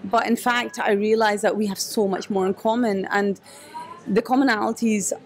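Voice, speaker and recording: A woman's voice: medium pitch, steady tone, moderate pace. A woman answering interview questions in a cafe, thoughtful and hesitant, with many restarts. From Scotland. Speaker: guest, photographer and activist.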